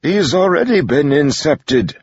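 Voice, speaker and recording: Man doing a crotchety old priest, pitched up. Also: expressive, measured pace, highs cut off, a little hiss or hum. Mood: dismissive. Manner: patronizing, exasperated. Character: crotchety, weary, condescending old male priest.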